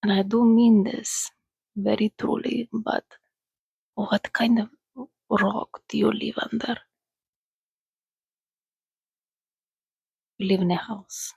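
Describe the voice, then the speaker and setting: A woman voicing an ancient female vampire in a soft-spoken, breathy ASMR roleplay, coaxing and gently teasing as she hosts a listener. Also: medium pitch, little pitch movement, no room sound, full character voice, rough recording. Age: over a thousand years old, as played. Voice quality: breathy, whispery. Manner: slow, coaxing, conversational with pauses.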